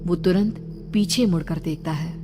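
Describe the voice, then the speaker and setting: A woman's voice: on the low side, moderate pace, music in the background. A woman storyteller reading a scripted narration for a story.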